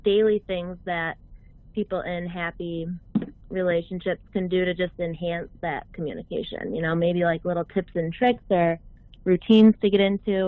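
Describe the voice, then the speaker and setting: Midwestern woman calling in on a tinny line. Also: fairly low pitch. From American Midwest. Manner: friendly, laughs easily, thinks aloud. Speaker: caller. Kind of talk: phone-in question.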